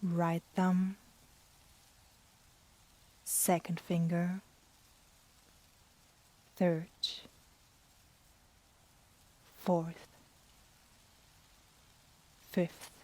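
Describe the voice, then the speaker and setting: A woman guiding a yoga nidra meditation in a soft, breathy, soothing manner with long pauses. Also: on the low side, flat delivery. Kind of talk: guided meditation.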